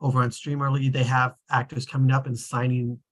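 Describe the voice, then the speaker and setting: Online table read host, husky voice, mid pitch, informal and conversational delivery. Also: level tone, brisk.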